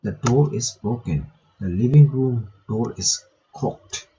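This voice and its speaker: A man's voice: medium pitch, slow pace, mellow, muffled recording. A man reading visual novel text aloud with casual commentary, breaking off to remark and reread lines. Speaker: gaming reaction video maker.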